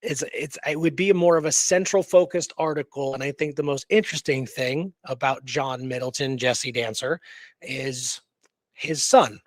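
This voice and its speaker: A man co-hosting a history show, talking off the cuff in a halting way with emphatic repetition. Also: mid-range voice, dry recording. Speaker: co-host of a history radio show. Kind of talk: unscripted history discussion.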